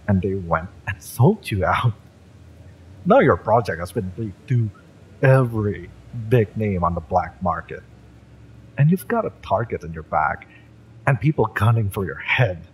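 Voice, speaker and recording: A male actor plays a taunting cyberpunk mercenary in an intimate audio roleplay, with a deep voice that swings expressively. He mocks the listener in a menacing drawl full of dramatic pauses, over a faint rumbling noise. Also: very animated, low-quality recording. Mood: scolding, taunting. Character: fiery, taunting male mercenary, spurned former bodyguard.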